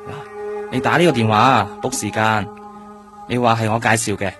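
A man playing a firm, guarded senior doctor in a radio drama, measured and admonishing, in Hong Kong Cantonese. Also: lowish voice, fairly even intonation, loud music behind the voice, lightly acted. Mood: cautioning, firm.

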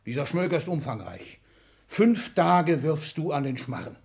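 A man's voice: on the high side, big pitch swings, normal speed, gravelly, darkish tone. An actor playing a domineering, sardonic, ageing aristocratic father in a 1960s German radio play, lecturing with theatrical scorn. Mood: scornful, lecturing.

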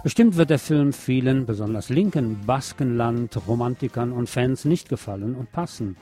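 A man presenting a scripted film review as a radio host. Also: mid-range voice.

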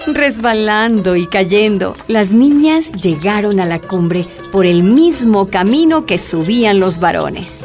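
A woman's voice: medium pitch, animated, slow, darkish tone, soft background music. A woman narrating a radio drama in a scripted storytelling style.

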